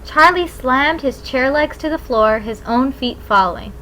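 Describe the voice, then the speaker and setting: A woman's voice: high-pitched, moderate pace, sharp tone, slight background noise, poor audio. A woman narrating a novel in the first person as a scripted audiobook reading.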